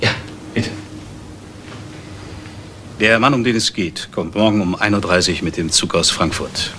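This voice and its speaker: An actor playing a cold, businesslike man who orders a killing in a crime radio play, measured, formal and matter-of-fact. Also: low voice, fairly bright tone, slight background noise.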